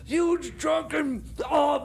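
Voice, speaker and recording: A man puts on a muddled radio comedy movie critic with an extremely high, gravelly voice. He stammers and halts, always flustered, as he rambles. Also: steady tone, drawn-out words, a little hiss or hum, heavily acted. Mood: excitable. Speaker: comic sidekick film critic. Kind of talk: improvised comedy radio theater.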